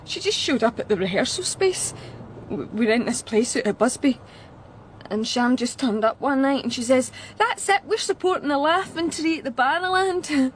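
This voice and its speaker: An actress playing a shaken, chatty young woman musician with a Glasgow Scottish accent, talking in a halting, blunt, colloquial way in a radio drama. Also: high voice, lively intonation, slight background noise. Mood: nervous, chatty. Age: young adult. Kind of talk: naturalistic radio drama acting.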